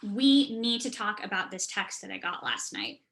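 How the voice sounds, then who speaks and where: Woman acting a bright, exasperated thirty-year-old daughter. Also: medium pitch, very animated, moderate pace. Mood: exasperated, indignant. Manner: emphatic, indignant. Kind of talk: staged reading over video call.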